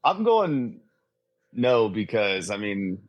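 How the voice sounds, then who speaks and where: A man's voice: on the low side, very animated, slow pace, no echo, highs cut off. A man chatting casually as a podcast guest, easygoing and a little hesitant in his answers. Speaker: podcast guest, pro cornhole player. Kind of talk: casual chat.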